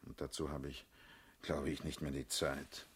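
Radio drama lead: brusque, paternal political boss, world-weary, very deep rough husky voice. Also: male voice, flat delivery, normal speed, a bit bright, full character voice. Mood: wearily brooding. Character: world-weary, commanding male party leader, blunt and sardonic. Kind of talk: German radio drama acting.

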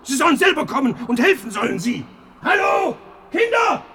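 An actor playing an older husband in a German radio play, fretful and proud, lamenting and rambling in an agitated way. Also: male voice, extremely high pitch, big pitch swings, moderate pace, pushed and bright, shouting, a little hiss or hum, exaggerated voice. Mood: indignant, furious. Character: fretful, proud old husband guarding his life's collection.

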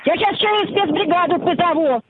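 A woman's voice: very high voice, brisk, no room sound. Scolding woman snapping down a phone line. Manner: scolding, combative. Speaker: prank victim.

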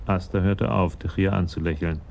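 An actor narrating a German radio crime drama as the storyteller of a police tale. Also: male voice, deep voice, fairly even intonation, moderate pace, vocal fry, darkish tone.

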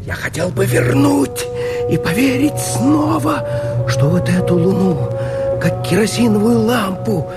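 High-voiced, yearning rebel man. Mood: wistful longing. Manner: impassioned, yearning, drawn-out phrasing. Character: weary male rebel clinging desperately to life. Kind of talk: theatrical verse declamation in a radio play. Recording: very loud music behind the voice.

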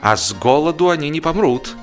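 Man putting on a cheeky, high-pitched street boy. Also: lively intonation, fairly quick, soft background music, natural voice. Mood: mocking. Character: cheeky London street errand boys, mocking onlookers.

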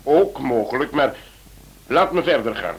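A deliberate radio-play police chief. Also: male voice, mid-range voice, expressive. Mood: explaining.

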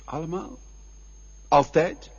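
An actor playing a calm but needling male companion in a Dutch radio play, questioning and philosophical like a schoolmaster, in a very husky voice. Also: fairly high pitch, slow pace. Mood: probing, needling. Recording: dull, lo-fi radio play recording.

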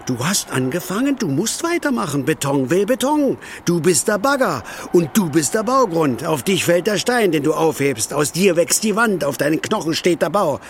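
An actor playing a weary, bitter, hard-drinking East German building worker, declaiming poetically in a theatrical radio play. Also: male voice, on the high side, very animated, normal speed, few pauses, slight background noise. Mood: bitter, insistent.

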